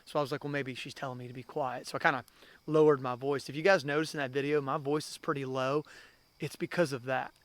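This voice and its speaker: A man in his thirties tells ghost stories to camera in a casual, earnest vlog style. He rambles, repeats himself and keeps calling his viewers guys. His voice sits at a medium pitch, and by his own account he talks loud. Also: steady tone, fast talker, dry recording. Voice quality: loud talker. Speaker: channel host.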